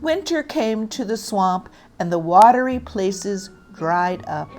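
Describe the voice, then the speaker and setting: A woman narrating a children's story aloud in an expressive, deliberate read-aloud style. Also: medium pitch, faint music behind.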